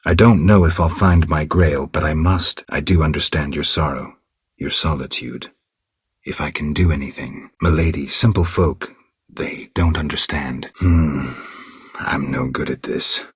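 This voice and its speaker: Game casting: world-weary witcher, rock-bottom bass register, gravelly, terse and deadpan, recorded very dry. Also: measured pace, dry recording, heavily acted. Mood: stoic, reserved. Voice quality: gravelly, husky. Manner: terse, restrained, deadpan delivery. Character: stoic, world-weary male monster-hunting witcher. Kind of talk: video game character dialogue.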